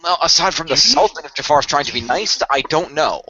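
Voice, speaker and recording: A man's voice: on the high side, animated, sharp tone, slightly rough, dry recording, exaggerated voice. Man acting wordy tech expert, dull lo-fi sound. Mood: concerned, explanatory. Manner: halting, wordy, technical explanations. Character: earnest male tech expert of a hero team, wordy planner. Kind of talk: amateur radio play, improvised-sounding dialogue. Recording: dull lo-fi voice recording.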